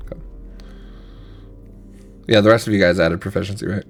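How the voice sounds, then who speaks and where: A man acting as game master, narrating a tabletop game in a suspenseful, measured way. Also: sits low, steady tone, creaky, faint music behind.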